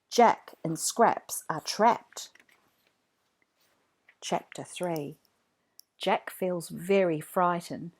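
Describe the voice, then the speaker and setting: A woman narrating a children's read-along story from a script, in an expressive storytelling manner. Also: fairly low pitch.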